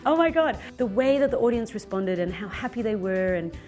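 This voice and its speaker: A woman's voice: mid-range voice, a little fast. Chatty woman in her thirties over music. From Philippines-born, raised in Zambia and Australia. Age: 30s. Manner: chatty and animated, with frequent fillers. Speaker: interviewee, stage actress. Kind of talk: conversational interview.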